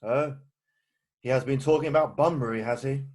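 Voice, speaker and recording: A man playing an exasperated, hesitant Victorian gentleman in an amateur play read-through. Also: mid-range voice, moderate pace, natural voice. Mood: indignant. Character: exasperated, indignant Victorian gentleman, guardian of a young woman.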